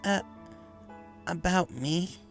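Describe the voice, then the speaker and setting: A man voicing an eager but hesitant young female pegasus pony in a story reading, speaking haltingly, with music behind. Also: high voice, vocal fry, pitched above the performer's own voice, full character voice. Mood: curious, surprised.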